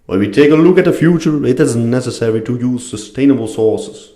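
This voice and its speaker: A man's voice: medium pitch, lively intonation, fairly quick, mellow. A man with a non-native English accent giving a scripted educational narration. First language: not English. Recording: echoey room.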